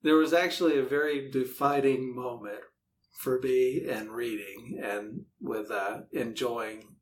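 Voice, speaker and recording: Man chatting casually, faint rumble behind. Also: fairly even intonation, a little slow, poor audio. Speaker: podcast guest, writer. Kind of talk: casual conversational interview answers. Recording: faint low rumbling background noise.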